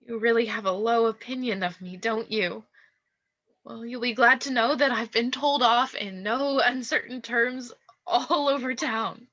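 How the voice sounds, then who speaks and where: A woman's voice: on the high side, fairly even intonation, a little slow, fairly bright tone. A woman acting in a radio play as a scheming young actress, falsely meek and coolly blackmailing. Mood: meek, contrite. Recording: dull, lo-fi voice track.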